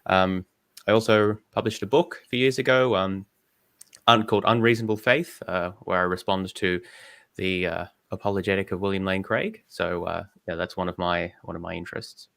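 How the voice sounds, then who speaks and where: Low-voiced Australian man, dry sound. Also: steady tone, normal speed, gravelly, fairly bright tone, no echo. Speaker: guest, doctoral student. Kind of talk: livestream panel chat.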